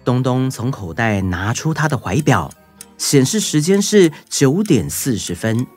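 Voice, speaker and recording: A storyteller narrates for children in a lively, varied voice of medium pitch. Soft music plays underneath. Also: measured pace.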